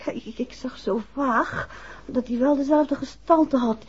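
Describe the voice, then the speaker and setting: A woman's voice: high voice, measured pace, a little mellow, breathy edge, heavily acted. A woman acting a detective's shaken but stubborn, affectionate wife in a 1960s radio drama, hesitant and insistent by turns. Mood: recounting, uneasy. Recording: muffled old 1960s radio recording.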